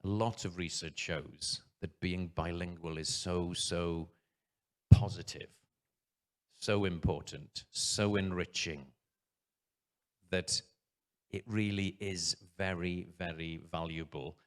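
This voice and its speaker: A man, a head teacher giving an earnest conference talk from a stage, pausing often. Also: sits low, fairly even intonation.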